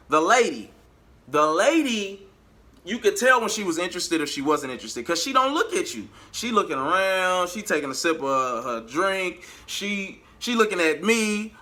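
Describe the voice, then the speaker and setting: A man telling a story in a casual vlog, animated and slangy, acting out bits of conversation as he goes. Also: on the high side. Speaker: vlogger and amateur singer.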